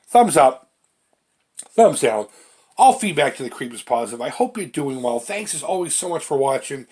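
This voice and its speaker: A man's voice: mid-range voice, big pitch swings, normal speed, a little mellow. A middle-aged man with a stuffy, congested voice from a head cold, giving casual, self-deprecating commentary as he hosts a retro video game showcase. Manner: self-deprecating, informal, mildly crude. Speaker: host of a retro gaming channel. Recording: clearly noisy home recording.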